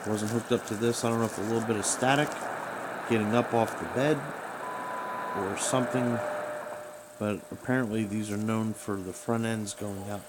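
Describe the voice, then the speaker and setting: A man talking casually and haltingly as he rambles through a hobbyist's gadget troubleshooting demonstration. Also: on the low side, steady tone. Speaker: radio hobbyist. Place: small room at home, indoors. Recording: noisy home recording with background hiss.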